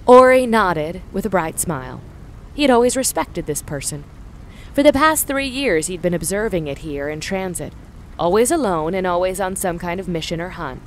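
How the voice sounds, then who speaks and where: Low-voiced woman narrating a story. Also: expressive, moderate pace, a little hiss or hum, rough recording. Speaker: audio drama narrator.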